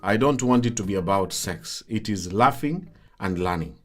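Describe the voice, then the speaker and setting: A man's voice: lowish voice, animated, normal speed. A man hosting a live talk show and talking with guests.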